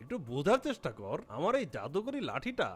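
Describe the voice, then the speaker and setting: A man voicing a patient but fussy cartoon eagle healer with a husky, familiar, emphatic delivery. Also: a little high, wildly swooping pitch, brisk, full character voice. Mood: firm, pleading. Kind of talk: animated cartoon character acting.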